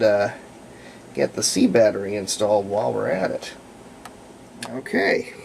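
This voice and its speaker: Creaky-voiced man casually thinking aloud. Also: mid-range voice, a little mellow, slight background noise. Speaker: hobbyist restorer of antique radios, presenter. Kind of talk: casual hands-on demonstration, unscripted running commentary.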